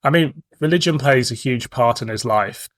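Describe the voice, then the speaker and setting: A British man in his forties hosts a casual podcast chat. He tells anecdotes in a frank, cheeky way, with a middling pitch and a close, dry sound. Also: moderate pace, fairly bright tone, dry recording. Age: 40s. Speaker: podcast host.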